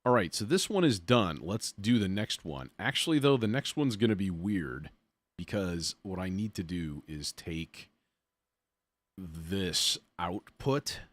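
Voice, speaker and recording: A man's voice: low-pitched, expressive, measured pace, dry recording. A man hosting a casual music production demo, thinking aloud in an informal, self-deprecating way as he works. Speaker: livestream host, music producer demonstrating software.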